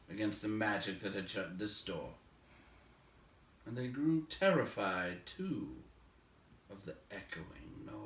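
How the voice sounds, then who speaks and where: A man reading a story aloud as narrator, with expressive, dramatic phrasing. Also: on the low side. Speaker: audiobook reader. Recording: roomy echo, distant microphone.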